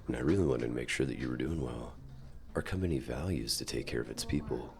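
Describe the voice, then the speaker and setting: A man playing a suave, dominant company CEO in an ASMR roleplay, speaking to the listener with measured, polite, quietly controlling reassurance and deliberate pauses. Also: a bass voice, vocal fry. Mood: solicitous, composed. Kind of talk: ASMR roleplay acting, addressing the listener directly.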